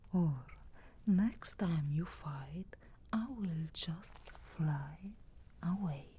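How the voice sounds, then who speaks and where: Woman as a whispering, slow, teasing witch. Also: sits low, dark tone, slight background noise. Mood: teasing warning. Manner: slow, intimate, measured delivery with long pauses. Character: sharp-tongued, teasing young witch tending a wounded comrade. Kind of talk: soft-spoken whispered ASMR roleplay.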